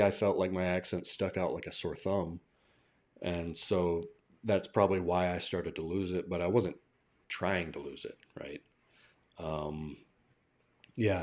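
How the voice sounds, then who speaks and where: A man's voice: deep voice, darkish tone. An American man chatting casually as a language teacher on a livestream, thinking aloud and often checking in with a "right?". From southern United States. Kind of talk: casual live-stream discussion.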